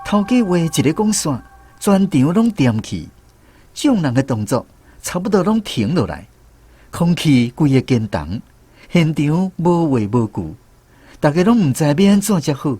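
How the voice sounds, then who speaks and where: Male radio drama narrator, Taiwanese accent: expressive, high-pitched, lively melody, background music. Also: measured pace, husky, no room sound.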